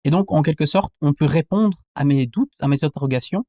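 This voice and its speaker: A man's voice: a little high, a little fast, warm tone, dry recording, studio quality. A man, reflecting thoughtfully in a conversational interview and rephrasing as he goes. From Belgium. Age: 20s. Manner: thoughtful, searching, reformulates as he goes. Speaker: interview guest, anthropologist.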